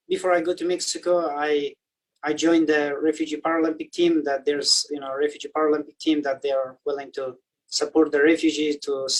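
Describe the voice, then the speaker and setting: A young man from Afghanistan speaks with a slightly high, monotone voice, telling a long story loosely and filling the gaps with "you know". His voice sits a little away from the microphone. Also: far from the mic, rough recording. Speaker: athlete guest. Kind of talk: casual interview answers.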